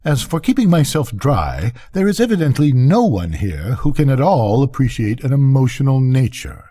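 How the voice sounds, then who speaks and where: A man's voice: mid-range voice, very animated, normal speed, dark tone, husky, pitched above the performer's own voice. An older man voicing a vain, self-pitying firework rocket in a bedtime story, pompous, indignant and declamatory.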